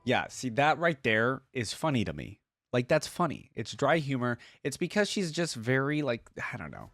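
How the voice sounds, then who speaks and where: A man's voice: mid-range voice, very animated, normal speed, a bit bright, no echo, studio quality. A man hosting a reaction video, giving casual fan commentary. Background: music.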